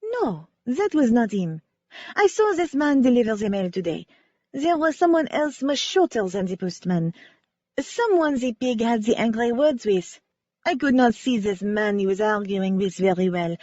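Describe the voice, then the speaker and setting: A woman voicing a petite female ballerina who speaks in formal, halting English with stiff, careful phrasing, in an audiobook. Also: on the high side, big pitch swings, dark tone, dry recording, muffled recording. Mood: earnest.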